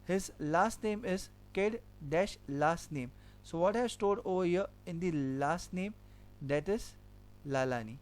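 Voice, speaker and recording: A man's voice: high-pitched, darkish tone. A man teaching a programming lesson, walking through code step by step and repeating each point with a filler "okay". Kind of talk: screen-recorded coding lesson.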